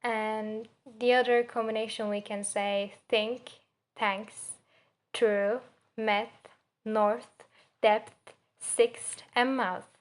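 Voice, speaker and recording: A young woman with a Turkish accent presents like a student giving an assignment. Her voice sits fairly high and stays quite flat. She goes slowly and haltingly, searching for words and setting out examples with care. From Turkey. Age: young adult. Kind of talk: spoken assignment presentation on pronunciation.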